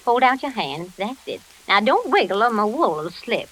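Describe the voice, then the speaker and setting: A woman's voice: medium pitch, big pitch swings, moderate pace, fairly bright tone, poor audio. An actress playing a doting old woman with a sinister sweetness in an old-time radio thriller. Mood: cooing, sinister. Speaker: radio actor.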